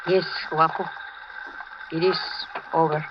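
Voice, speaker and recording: A woman's voice: low voice, level tone, takes it slowly, background noise. An actress playing a tender, teasing, wistful young Cuban woman with a Spanish accent in 1940s radio drama. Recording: muffled old radio recording with music.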